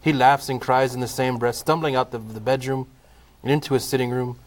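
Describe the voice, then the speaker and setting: A man with a medium-pitched voice narrates a comic audio play. His delivery is dramatic scripted storytelling, broken into short, deliberate phrases. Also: steady tone.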